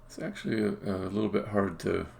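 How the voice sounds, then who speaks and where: A low male voice with heavy vocal fry talks casually through his work on a game development stream. He speaks haltingly, half to himself, as he thinks aloud. Faint noise sits behind him, with keyboard typing and clicks. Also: darkish tone. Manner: halting, muttering to himself while working. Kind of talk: casual think-aloud live-coding commentary. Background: computer keyboard typing and mouse clicks.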